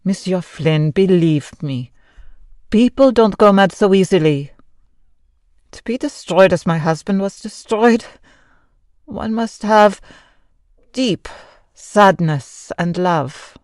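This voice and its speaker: A woman playing a grieving, sorrowful Frenchwoman in an old-time radio drama, speaking formally and haltingly. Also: medium pitch, expressive, darkish tone, dry recording, studio quality. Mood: grave, pleading. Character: grieving Frenchwoman, wife of a mad composer, sorrowful.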